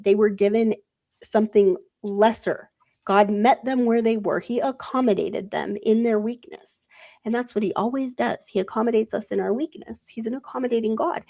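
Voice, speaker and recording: A woman's voice: on the high side, a little mellow. A woman who is sick and losing her voice talks in a casual, rambling way about religious ideas on a livestream, with many fillers. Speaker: co-host.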